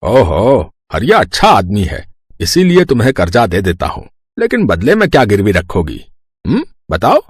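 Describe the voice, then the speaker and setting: A calculating village moneylender, the villain of a storybook, voiced by a man. He speaks in a lowered voice, scornful and condescending as he bargains, with very little room sound. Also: wildly swooping pitch, normal speed, breathy edge, no room sound, clean studio sound, heavily acted. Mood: sly, scornful.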